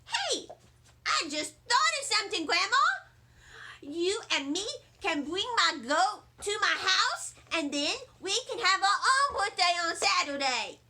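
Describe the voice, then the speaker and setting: A woman voicing an excitable, indignant little girl from a storybook, with childish grammar and emphatic, repeated phrases. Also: very high-pitched, voice pushed up, full character voice. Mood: excited.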